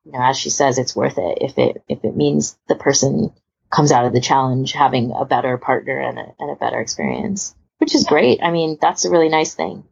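A woman's voice: very deep voice, animated, a little fast, gravelly, a little mellow, slightly rough, muffled recording. A woman co-hosting a podcast in casual discussion, with a conversational, hedging, agreeable manner. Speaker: podcast co-host. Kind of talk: casual discussion, reading out listener comments.

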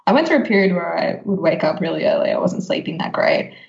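A woman's voice: fairly low pitch, big pitch swings, fast, gravelly. A woman hosting a podcast in casual chat, with a giggly way of talking that often breaks into laughter. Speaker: podcast host. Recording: slightly dull, echoey room.

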